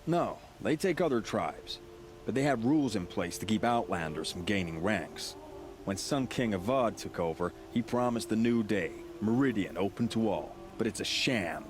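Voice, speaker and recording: A man's voice: fairly low pitch, animated, normal speed, background noise, close to an everyday voice. An actor playing a blunt, disgruntled male hunter in a video game, speaking in a dry, sardonic way. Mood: wry, cautioning. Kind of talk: video game dialogue acting.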